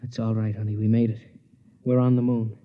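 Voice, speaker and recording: Actor as a gentle husband, muffled old radio. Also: male voice, on the low side, flat delivery, fairly quick, natural voice. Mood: reassuring, tender. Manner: gentle, earnest. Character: devoted, reassuring space pilot husband. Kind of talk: 1950s radio drama acting. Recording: muffled 1950s radio recording.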